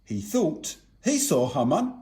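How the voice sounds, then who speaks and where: Slow, swooping high-voiced male storyteller. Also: big pitch swings, dark tone. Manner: theatrical, deliberate. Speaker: puppet show narrator and performer. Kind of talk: rhymed children's story narration.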